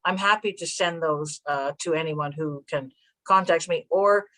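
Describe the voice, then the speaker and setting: A mature woman with a slightly low voice speaks as a guest presenter. Her style is informal, somewhere between a talk and a chat. She comes across as obliging and modest about herself. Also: a little slow, a bit bright. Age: middle-aged or older, a grandmother.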